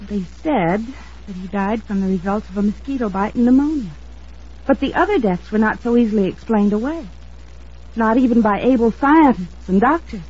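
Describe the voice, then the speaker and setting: Anxious young woman, radio drama; husky, mellow, earnest, on a muffled old recording. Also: on the high side, lively intonation, normal speed. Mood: grave, earnest. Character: earnest, anxious young woman, defensive about her sweetheart.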